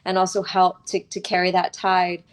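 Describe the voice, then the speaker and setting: A woman's voice: lowish voice, monotone, moderate pace. A woman speaking as a guest author in a conversational interview, in an earnest, reflective way, often checking in with a short tag question.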